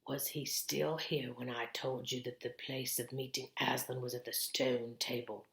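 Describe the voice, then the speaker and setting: Woman as a low, grave storybook male beaver. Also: little pitch movement, moderate pace, fairly bright tone, roomy sound. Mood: grave urgency.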